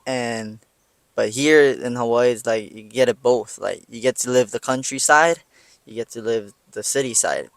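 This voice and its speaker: A young man from Taiwan, a podcast guest chatting casually with a relaxed, halting delivery full of fillers. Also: mid-range voice, gravelly, no echo. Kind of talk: casual interview chat.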